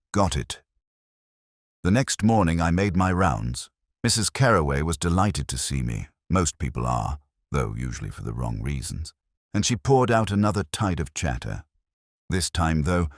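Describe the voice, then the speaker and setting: An extremely low-voiced man narrates a detective audiobook in a wry, hardboiled style. His voice is husky and moves expressively in pitch. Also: sing-song, moderate pace, no room sound, clean studio sound. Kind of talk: scripted first-person hardboiled detective narration.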